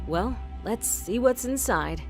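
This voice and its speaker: A woman's voice: fairly high pitch, expressive, slow pace, recorded from a distance. A woman playing a determined police inspector who narrates her case in an audio crime drama. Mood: serious, urgent. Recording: music behind the voice.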